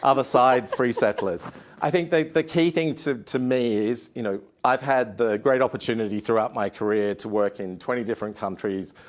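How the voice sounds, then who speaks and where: An Australian man speaking at a conference panel, measured, with many "um"s and restarts. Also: mid-range voice. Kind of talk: conference talk.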